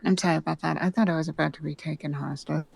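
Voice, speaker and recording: A woman's voice: sits low, steady tone, racing, vocal fry, darkish tone, slight background noise. A woman playing a friendly, chatty character in a roleplay game, who talks casually and politely. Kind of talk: improvised roleplay chat.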